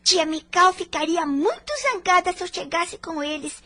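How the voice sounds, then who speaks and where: A woman's voice: extremely high pitch, moderate pace, bright, forceful tone. A woman with a Brazilian accent playing a tender, timid and fragile poor orphan girl in a radio drama. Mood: shy delight. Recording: music under the voice.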